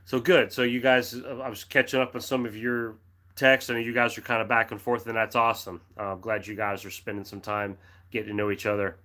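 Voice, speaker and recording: A middle-aged American man hosting a casual livestream, reading out viewer comments in a friendly, rambling way with restarts and throat clearing, his throat dry and a cough drop in his mouth. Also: lowish voice, little pitch movement, brisk, sharp tone. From United States. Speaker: livestream host reading chat. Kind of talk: casual live chat.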